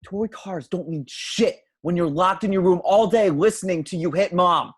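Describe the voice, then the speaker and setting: A man's voice: sits high, moderate pace, husky. A man playing an awkward, resentful grown son in an amateur script read. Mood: bitter.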